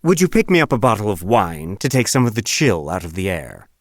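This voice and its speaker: A man's voice: lowish voice, big pitch swings, fairly quick, no room sound, studio quality. An actor playing a cheeky male trickster fiddler in an audiobook, teasing and mock-polite. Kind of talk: audiobook character acting.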